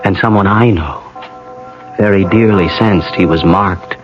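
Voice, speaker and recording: An actor playing a somber, reflective writer in a radio drama, speaking gravely and haltingly. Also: male voice, deep voice. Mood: grave, resigned. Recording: dull vintage radio, music underneath.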